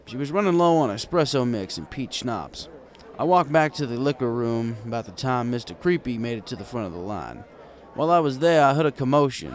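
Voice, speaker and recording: A man reading a first-person story in a wry, conversational storytelling manner. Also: medium pitch. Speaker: fan fiction reader.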